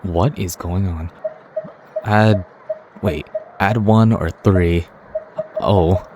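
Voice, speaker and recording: A man's voice: low voice, steady tone, extremely slow, background noise. A man hosting a gaming video, giving casual gameplay commentary.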